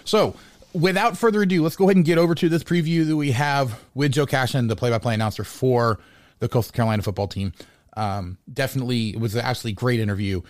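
A man talks casually as a sports podcast host. He rambles, doubling back on words and restarting thoughts. His voice sits at an average pitch in a dry, close sound. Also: steady tone, fast, no echo.

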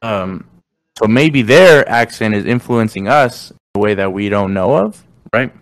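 A young man whose first language is Spanish, hosting a casual podcast chat in slangy, animated talk, often saying "you know what I'm saying". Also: lowish voice, measured pace, no echo, poor audio. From Mexican American. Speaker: podcast host.

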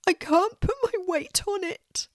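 A woman voicing a hurt little boy, whimpering but brave, in a high childlike voice. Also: unhurried, no room sound, clean studio sound. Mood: tearful.

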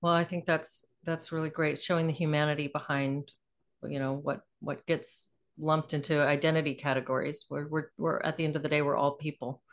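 A woman's voice: low-pitched, little pitch movement, a little fast. A woman hosting an interview, talking casually and hesitantly as she searches for words, with many fillers. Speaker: interviewer, host.

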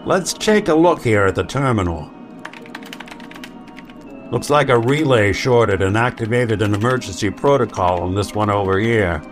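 An actor playing a world-weary, sardonic detective in a post-apocalyptic radio mystery, with a dry, hard-boiled delivery. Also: male voice, fairly low pitch, expressive, moderate pace, soft background music, close to an everyday voice. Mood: intrigued. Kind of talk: old-time radio detective drama acting.

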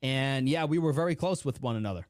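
A young adult man from the United States, a motivational speaker telling his life story in an interview, acting out the voices of his family with big swings in pitch. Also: quick pace, dry recording, clean studio sound. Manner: animated storyteller, acts out quoted dialogue with big pitch swings.

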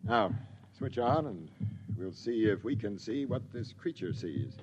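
A man's voice: lowish voice, expressive, measured pace. A man playing a stern, imperious military commander with a clipped, impatient manner in a 1950s radio drama. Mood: measured, observant. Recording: noisy old radio recording with hum.

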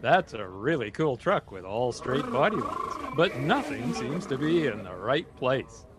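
An actor playing a chipper local radio field reporter with polished announcer delivery in a comedy broadcast. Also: male voice, medium pitch, big pitch swings, unhurried. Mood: curious, amazed.

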